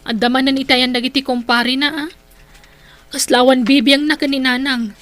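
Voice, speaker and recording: An actress with an Ilocano accent playing a hardworking, determined young daughter in a radio drama, earnest and emotive. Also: female voice, high voice, fairly even intonation, moderate pace, fairly bright tone. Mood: worried, dutiful. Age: young adult.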